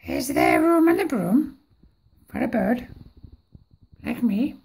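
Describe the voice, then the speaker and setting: Lady doing a high chirpy little bird voice, bouncy and slow. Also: huge pitch swings, creaky, a little mellow, slightly rough, full character voice. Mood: eager. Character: shrieking green female bird, chirpy.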